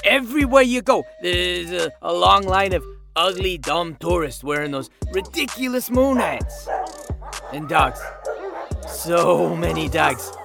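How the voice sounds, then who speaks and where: An actor playing an indignant, whiny dad in a comedy podcast, ranting petulantly through a scathing customer review. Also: male voice, high-pitched, lively intonation, unhurried, creaky, over music, full character voice. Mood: exasperated. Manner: exasperated, petulant, emphatic complaining.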